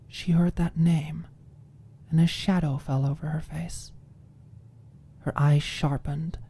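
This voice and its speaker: A woman narrating an ASMR audiobook in a deliberate, expressive, breathy voice that often drops to a near whisper. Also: deep voice.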